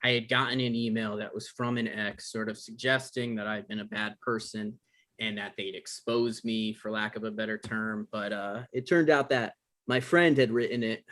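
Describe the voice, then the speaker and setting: A man's voice: on the low side, fairly even intonation, normal speed, fairly bright tone, lightly acted. Awkward, defensive guy, acted by a man who stammers. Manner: stammering, hedging. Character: evasive, awkward, defensive man meeting his exes. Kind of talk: conversational table read acting. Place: online live stream table read.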